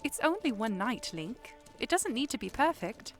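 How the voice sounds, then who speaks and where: Lady playing playful bossy princess in an audiodrama, high animated voice, teasing. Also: normal speed, faint music behind. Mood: insistent. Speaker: voice actor. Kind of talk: audio drama acting.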